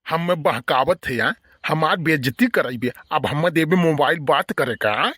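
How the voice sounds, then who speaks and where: A man's voice: on the high side, expressive, unhurried, fairly bright tone, breathy edge, no echo, studio quality, exaggerated voice. A man voicing a grumpy, irritable old village uncle in an Awadhi comedy cartoon, scolding and complaining in an Awadhi accent. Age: older.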